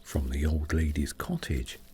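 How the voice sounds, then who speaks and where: Deep, very slow soothing male meditation narrator. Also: steady tone, darkish tone, faint music behind. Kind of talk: sleep meditation story narration, scripted.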